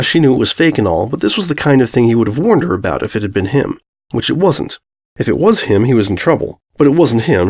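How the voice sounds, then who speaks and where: A man's voice: medium pitch, a little mellow. A man reading a scripted story aloud as its narrator.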